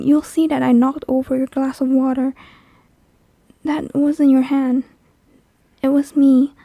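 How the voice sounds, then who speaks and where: A woman voicing a lonely, clingy female sleep paralysis demon in an ASMR roleplay, soft and breathy, hesitant and intimate, often near a whisper, close to the microphone. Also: high voice, no room sound. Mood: insistent, amazed. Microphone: close microphone, dry.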